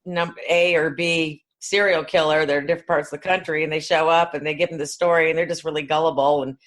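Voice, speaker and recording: A woman's voice: sits low, fairly even intonation, fast. A woman co-hosting a podcast, talking in a rambling, blunt, informal way. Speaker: podcast co-host. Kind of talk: casual film discussion.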